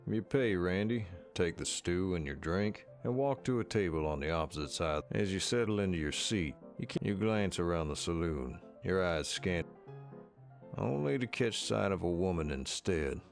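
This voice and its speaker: A man with a very low, creaky voice reads scripted story narration for a visual novel. Faint music sits behind his words. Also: animated, moderate pace.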